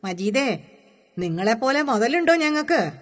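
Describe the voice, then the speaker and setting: A woman's voice: a little high, very animated, measured pace, pitched above the performer's own voice. A woman voicing an exasperated, complaining village mother who scolds in a storybook reading. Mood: exasperated scolding. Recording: long hall-like reverb.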